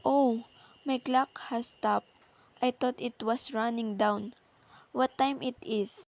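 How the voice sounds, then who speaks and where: A woman's voice: fairly high pitch, fairly even intonation, slow pace. A woman playing a nervous, demanding, frightened invalid alone at home in a radio play, anxious and insistent. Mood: agitated, upset.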